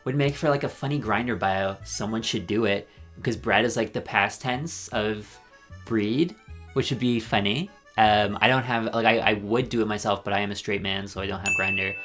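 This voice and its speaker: A man giving a rambling, sarcastic video commentary with deadpan asides. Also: on the low side, moderate pace, soft background music. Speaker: commentary YouTuber. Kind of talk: ranking commentary.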